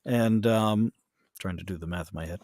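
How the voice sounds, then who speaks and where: A man's voice: deep voice, normal speed, darkish tone, dry recording. Man explaining things casually, close dry sound. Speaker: podcast guest, voice actor and audiobook narrator. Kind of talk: casual interview conversation.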